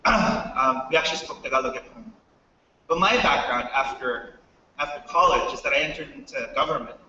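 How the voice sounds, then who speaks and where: A man's voice: mid-range voice, sharp tone, muffled recording, a little hiss or hum. An American man giving a casual startup talk to students, asking the audience questions. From United States. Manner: chatty, asks the audience questions. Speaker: startup co-founder speaker. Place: hall with a live audience. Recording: echoey hall, mic from far off.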